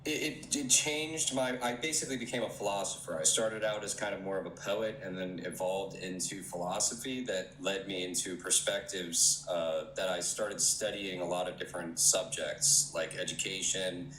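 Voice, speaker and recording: A man calling in to a live discussion, speaking in a halting, reflective way and searching for his words as he talks. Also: low-pitched, steady tone, a bit bright. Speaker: guest caller. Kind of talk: casual conversation. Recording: echoey room with faint background hum.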